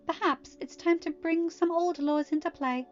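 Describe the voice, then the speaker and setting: Woman playing a high-voiced, sweetly vengeful princess. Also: fairly even intonation, normal speed, highs cut off, faint music behind. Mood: sweetly furious. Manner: sweet on top, angry underneath. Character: proud young princess, sweetly vengeful, coolly imperious.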